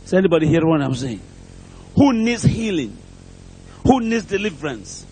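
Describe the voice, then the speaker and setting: A man's voice: on the high side, very animated, moderate pace, mellow, a little hiss or hum. A man preaching a sermon from a pulpit in an emphatic, declamatory way, with short repeated phrases and rhetorical questions. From outside England, preaches there as a visitor. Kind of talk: impassioned prosperity sermon. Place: church pulpit, preaching to a congregation.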